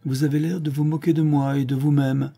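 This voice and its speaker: A man's voice: a little high, flat delivery, brisk, warm tone, pitched above the performer's own voice. A man lifting his voice into a teasing young countess. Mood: anxious. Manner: coquettish, lightly mocking.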